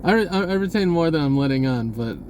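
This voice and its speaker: A man's voice: on the high side, expressive, quick pace, a little mellow, slight background noise. A man giving a rambling, spontaneous message in an informal, hesitant way, often rephrasing as he goes. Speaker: online video creator.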